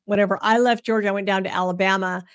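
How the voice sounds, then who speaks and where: A woman's voice: mid-range voice, fairly even intonation, fast talker, dry recording, studio quality. A woman hosting an interview, chatting casually and telling stories in a chatty, friendly way. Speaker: interview host.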